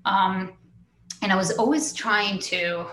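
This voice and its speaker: Israeli-born woman, interview guest; bright, forceful voice in an echoey room, reflective and halting. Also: medium pitch, steady tone. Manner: open, reflective, many fillers and restarts. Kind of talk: personal story in an interview.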